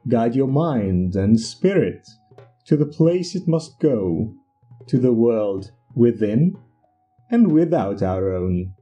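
Man doing a theatrical mystic guru, swoopy grand delivery, husky mellow voice, audio drama. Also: medium pitch, very animated, slow pace, lo-fi sound, soft background music, exaggerated voice. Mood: grandly mystical. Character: pompous, theatrical male spiritual guru peddling remedies. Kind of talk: audio drama character acting.

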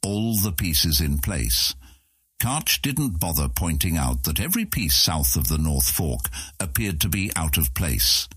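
A man narrating a fantasy audiobook in an expressive storytelling manner. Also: extremely low pitch, measured pace, slightly rough, no room sound, clean studio sound.

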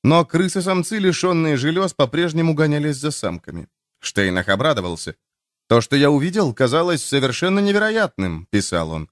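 A man narrating a nonfiction audiobook, reading a prepared text expressively with clear phrasing. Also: fairly high pitch, very animated, a little fast, dry recording, studio quality.

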